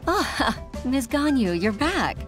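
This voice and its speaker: Casting: polite, hesitant female clerk, very slow delivery, expressive melody, game dub over loud music. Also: high-pitched, heavily acted. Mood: relieved, grateful. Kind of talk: English video game dub acting.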